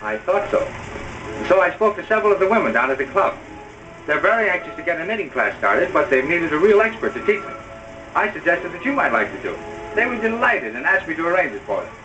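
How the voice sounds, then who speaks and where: Young husband: soothing peacemaker, expressive pitch, vintage drama, distant over music. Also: male voice, quick pace, highs cut off. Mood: coaxing, cheerful. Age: young adult. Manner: soothing, conciliatory. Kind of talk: 1930s-style radio drama acting.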